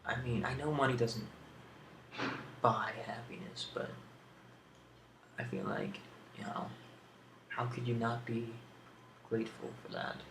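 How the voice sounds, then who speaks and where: A man speaking in a casual ASMR question-and-answer style, rambling hesitantly in a low-key way. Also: unhurried, long pauses. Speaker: video creator answering tag questions. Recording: roomy echo, clear background noise.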